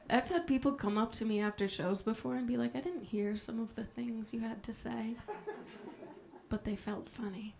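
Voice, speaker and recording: Soft-spoken, gravelly deadpan woman comic. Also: fairly high pitch, background noise. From Minnesota. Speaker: stand-up comedian. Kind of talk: stand-up set. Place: comedy club stage before a live audience. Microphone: handheld stage mic.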